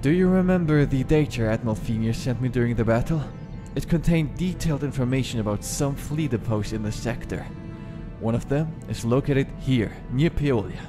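An actor playing a composed, authoritative starship fleet commander in a science fiction audio drama, speaking in a measured way. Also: male voice, mid-range voice, natural voice. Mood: composed, commanding. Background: music.

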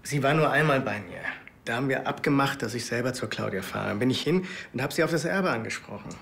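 A man's voice: mid-range voice, animated, quick pace, creaky, fairly bright tone. An actor playing an indignant, defensive man under police questioning in a TV drama. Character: indignant male suspect defending himself.

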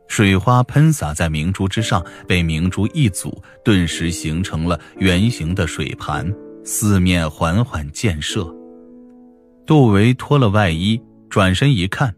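A man reads fiction aloud as an audiobook narrator. His voice sits low, and his delivery is scripted but expressive and dramatic, with faint music underneath.

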